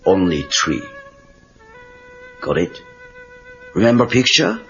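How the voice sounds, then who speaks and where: A wise old Okinawan handyman with a deep voice that swings widely in pitch. He speaks gentle, terse broken English with a Japanese accent, in an audio drama on dull cassette tape. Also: huge pitch swings, vocal fry, soft background music, full character voice. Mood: calm, instructive. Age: older. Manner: clipped broken English, measured.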